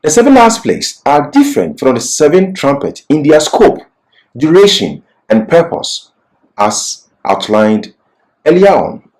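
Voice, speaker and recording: A man's voice: mid-range voice, a little mellow, rough recording. A man giving an expository Bible lecture as a teacher, didactic and emphatic, posing questions and answering them himself.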